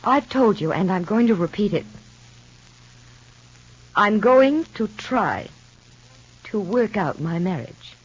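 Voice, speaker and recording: An American actress playing an insistent, exasperated middle-aged mother in a vintage radio soap, with a husky voice. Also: mid-range voice, lively intonation, slow pace, long pauses. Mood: earnest, pleading. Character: insistent, exasperated mother figure lecturing a younger woman. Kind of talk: 1950s radio soap acting. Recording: dull vintage radio recording.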